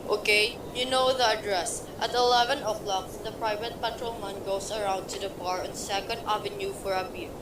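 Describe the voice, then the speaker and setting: A woman's voice: mid-range voice, moderate pace, hardly any pauses, close to an everyday voice. A cold, clipped male criminal, voiced by a woman, gives orders in a radio play. The voice sounds far from the mic in a roomy space. Hiss fills the background. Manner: curt, flat, commanding.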